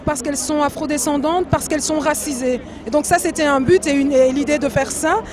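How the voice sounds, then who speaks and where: High-voiced woman organizer explaining. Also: fairly even intonation, fairly quick. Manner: explanatory, with hesitations. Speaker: protest organizer. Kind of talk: interview answer. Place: outdoors at a rally. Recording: music and crowd behind.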